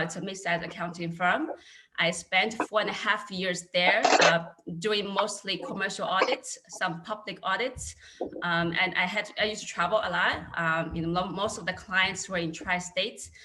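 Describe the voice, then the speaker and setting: A woman's voice: fairly low pitch, normal speed, noisy background. A woman in her thirties from China with a Chinese accent, speaking candidly as an accounting panelist over a video call. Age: 30s. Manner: earnest, candid storytelling. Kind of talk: casual panel talk.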